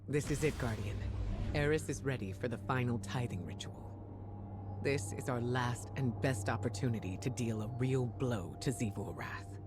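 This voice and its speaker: A steadfast game ally played by a man briefs a warrior in a measured, solemn voice of middle pitch. The delivery is earnest and weighty, with music swelling clearly behind it. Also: lightly acted. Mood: earnest resolve. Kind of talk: dramatic game mission briefing. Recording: studio voice under prominent music.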